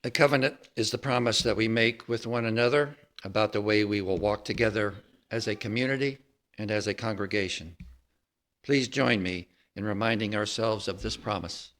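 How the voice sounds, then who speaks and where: Low-voiced man leading church liturgy. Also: moderate pace, fairly bright tone. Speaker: worship leader. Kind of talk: formal liturgical reading to a congregation.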